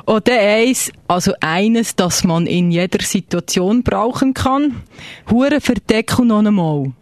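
A chummy Swiss teacher, played by an actor in a playful radio play. The medium-pitched voice has a Swiss German accent and is recorded close and dry. The delivery is encouraging, full of little check-in questions. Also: measured pace, no room sound, natural voice. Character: chummy Swiss language teacher drilling greetings and curses. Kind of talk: live comic radio play, playful lesson.

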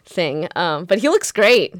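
A young American woman hosting a radio show in casual chat, animated, laughing often and swearing freely. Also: fairly low pitch, unhurried, dry recording, clean studio sound. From United States.

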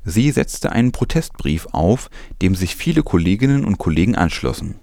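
Low-voiced man reading news. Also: big pitch swings, moderate pace, creaky, dark tone, no echo, studio quality. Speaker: news presenter. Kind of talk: scripted news narration with commentary.

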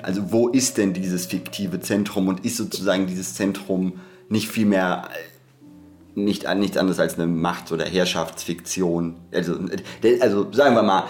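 A man hosting an analytical podcast conversation, thinking aloud and often rephrasing himself as he goes. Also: low-pitched. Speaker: podcast host.

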